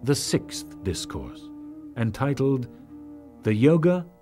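A man gives a dramatic reading of scripture at a slow, stately pace. His delivery is solemn and declamatory, rising and falling widely in pitch, with deliberate pauses between phrases. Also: big pitch swings, warm tone, soft background music. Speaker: audiobook narrator.

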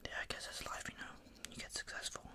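Asmr barber roleplay, all whispered and breathy, casual rambly small talk to the customer. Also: quick pace. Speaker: ASMR performer playing a barber.

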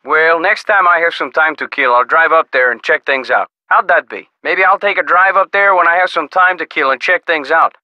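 An actor playing an easygoing, skeptical small-town sheriff in a video game, with a folksy, casual manner. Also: male voice, fairly high pitch, pushed and bright, no room sound, highs cut off. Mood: dismissive, easygoing. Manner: folksy, casual, drawn-out reactions. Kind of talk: video game character dialogue.

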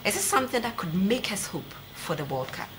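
A woman's voice: mid-range voice, sing-song, fairly quick. A woman in her thirties with a Ghanaian accent, hosting a televised sports interview as presenter. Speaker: TV sports show host. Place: TV studio, seated at desk. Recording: clearly noisy studio recording.

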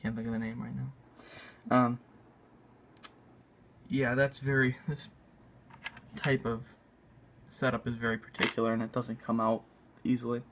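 A man narrating a casual hands-on tutorial in a halting, unscripted way, thinking aloud as he works. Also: medium pitch, little pitch movement, vocal fry, a bit bright, slight background noise. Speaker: video maker demonstrating a repair. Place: indoors at a tabletop.

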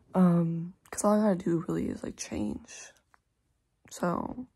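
A teenage girl filming a casual vlog on her phone, talking to viewers in a hushed morning voice and later out in the snow. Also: lowish voice, takes it slowly, husky, dry recording. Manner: whispery early-morning talk, bubbly and excited outdoors. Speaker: vlogger. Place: at home, then outdoors in snow. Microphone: handheld phone.

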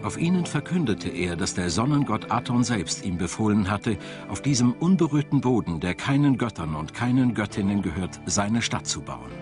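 A man reading scripted documentary narration in a measured, storytelling manner. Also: fairly low pitch, very animated. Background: music.

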